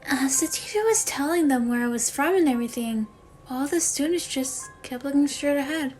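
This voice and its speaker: A woman's voice: sits high, moderate pace, a little mellow, faint music behind, lightly acted, poor audio. A woman playing an anxious, lonely schoolgirl in a dramatic monologue, speaking in a hesitant, plaintive way. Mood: uneasy, upset. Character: anxious, lonely schoolgirl confiding in her mother. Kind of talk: dramatic monologue for a voice acting demo reel.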